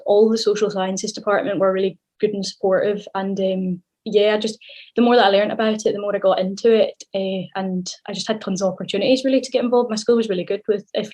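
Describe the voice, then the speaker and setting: A woman's voice: mid-range voice, darkish tone. Chatty young Scottish woman with Irish lilt. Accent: southwest Scottish with a slight Irish lilt. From southwest Scotland. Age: early 20s. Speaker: podcast guest. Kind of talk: casual interview chat.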